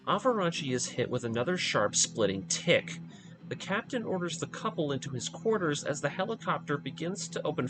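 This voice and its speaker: A man with a slightly high voice tells a story as scripted recap narration for a fan video essay. His delivery is dramatic and very animated, swinging widely in pitch. Music plays clearly behind him. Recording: voice over background music.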